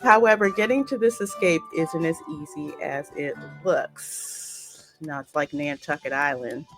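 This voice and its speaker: Casting: American woman as gameplay host, colloquial and chatty, a bit low in pitch, soft music underneath. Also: measured pace, low-quality recording. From United States. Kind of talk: gameplay commentary, reading game text aloud. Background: faint game music.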